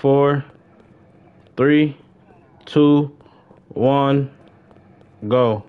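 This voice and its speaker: Young guy livestreaming a game, slangy casual talk, repeats himself, excitable, kinda muffled mic. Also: mid-range voice, very slow, smooth. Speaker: live game streamer. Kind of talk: casual livestream gaming chat.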